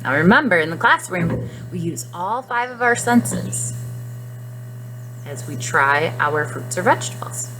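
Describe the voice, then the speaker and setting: Animated woman teaching young children. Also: on the low side, a little slow, music in the background, rough recording. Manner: expressive, simple phrasing addressed to children. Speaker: classroom nutrition teacher. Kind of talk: guided story reading and lesson for young children.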